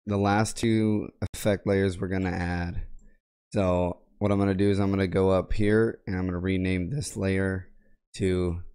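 A young man talks through a software tutorial in a low, raspy voice, because he is sick. He gives plain, methodical steps and clears his throat often. He is recorded at home on a boom mic with a headset. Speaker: tech tutorial creator. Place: home gaming room, indoors. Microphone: boom mic on arm with headset.

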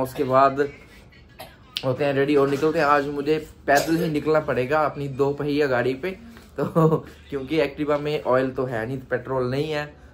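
A man in his thirties talks casually to his phone held at arm's length, teasing and easygoing. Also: mid-range voice. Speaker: vlogger. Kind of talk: casual family vlog chat. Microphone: phone selfie at arm's length.

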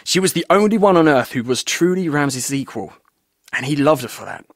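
A man's voice: on the high side, lively intonation, brisk, no room sound, studio quality. An actor playing a chatty, roguish male tour guide in a video game, with a glib, jaunty, theatrical way of telling things. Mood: wistful storytelling. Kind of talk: video game character dialogue.

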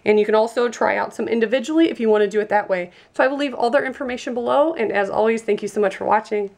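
A congested woman with a blocked nose and a sore throat talks her way through an unboxing. Her voice has a gravelly fry, and she chats casually about colors and scents as she opens each item. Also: a little high, very fast, a little mellow. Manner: chatty, describes colors and scents. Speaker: product reviewer. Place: indoors at a tabletop.

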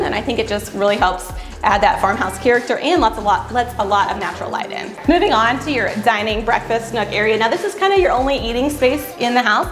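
An enthusiastic woman in her thirties walks through a freshly renovated, empty house, explaining each design choice. Her voice sits somewhat high. The bare rooms give an echoey sound, with faint music underneath. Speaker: house flipper co-host. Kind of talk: casual home-tour chat.

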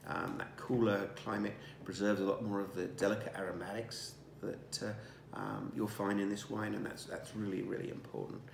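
Relaxed older winemaker in an echoey room. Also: male voice, on the low side, normal speed, vocal fry, hardly any pauses, slight background noise. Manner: relaxed, savoring, many fillers and restarts. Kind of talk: casual wine tasting presentation. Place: indoor dining room at a table.

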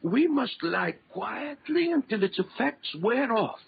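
A halting, horror-stricken radio scientist. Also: male voice, extremely high pitch, sing-song, gravelly, husky, heavily acted. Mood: alarmed. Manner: slow, halting, melodramatic, formal. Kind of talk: 1930s radio horror acting. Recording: muffled 1930s radio broadcast with echo.

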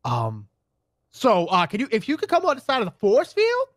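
A man's voice: sits high, wildly swooping pitch, normal speed, fairly bright tone, dry recording, clean studio sound. A man bantering playfully as a gaming video co-host, with a goofy, theatrical way of talking. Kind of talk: playful improvised gameplay banter.

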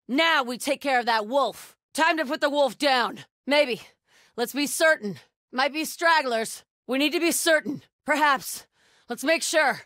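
An actress playing a hostile, watchful cult soldier in a video game, giving terse, commanding search and combat callouts. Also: female voice, a little high, fairly bright tone, no room sound, clean studio sound. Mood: grim, determined. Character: vigilant, hostile female cult soldier hunting intruders. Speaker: video game enemy character.

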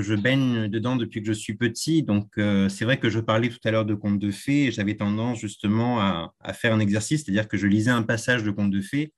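A man whose first language is Spanish, a tour guide, answering interview questions thoughtfully with frequent hesitations. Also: on the low side.

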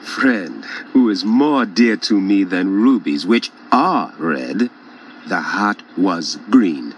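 A man's voice: low voice, lively intonation, slow pace, lo-fi sound, slight background noise, full character voice. A man playing a courteous but stubborn village friend with flowery speech in a children's folk tale. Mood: politely stubborn.

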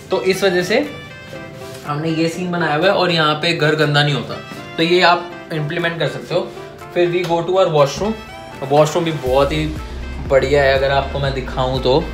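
A man in his twenties giving a casual, jokey tour of his small apartment, talking into a phone held at arm's length and mixing English into his Hindi. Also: high voice. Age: 20s. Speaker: vlogger. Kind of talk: casual home-tour vlog.

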